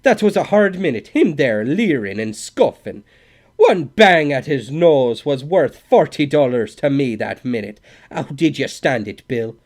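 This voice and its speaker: A man's voice: a little high, sing-song, unhurried, a little mellow, voice pushed up. A man doing a wailing old Irish innkeeper. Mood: wailing angry. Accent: Irish brogue. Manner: pleading, wailing. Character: old male Irish innkeeper, mournful, stubborn.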